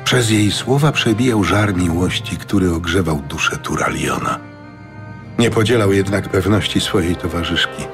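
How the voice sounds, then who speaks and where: A man narrating a scripted fantasy audiobook with measured, dramatic storytelling. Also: deep voice. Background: music.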